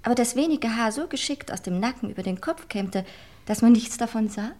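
A woman's voice: a little high, animated, a little fast, a little mellow, close to an everyday voice. A woman playing a flippant upper-class niece in a radio crime play, pointed and lightly mocking. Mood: teasing, pointed. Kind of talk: old German radio play acting.

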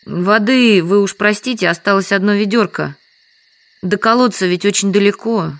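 An actress playing a meek, apologetic and quietly stubborn village hostess in an audio drama. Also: female voice, medium pitch, moderate pace, no echo, natural voice. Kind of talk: Russian audio drama dialogue.

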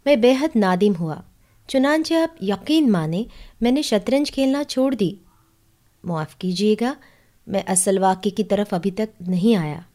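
Mellow-voiced woman narrating a story. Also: mid-range voice, animated, a little slow. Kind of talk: literary audiobook storytelling in first person.

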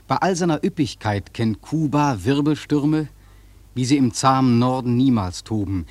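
A man acting a sailor narrator in a radio play, telling his tale in a grave, husky, solemn literary style. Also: medium pitch, a little mellow, no echo, close to an everyday voice. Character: male sailor recounting a terrible storm, grave, awed.

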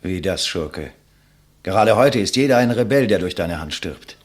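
An actor playing a proud, scornful condemned intellectual in a 1950s German radio play, declamatory and contemptuous. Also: male voice, sits low, lively intonation, normal speed. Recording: vintage 1959 radio recording.

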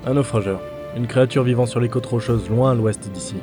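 A man playing a reserved, helpful ranger in a fantasy audio drama, with a calm, instructive delivery. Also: mid-range voice, fairly quick, music in the background, natural voice. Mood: calm, explanatory. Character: male ranger player in a fantasy game world, gruff but helpful.

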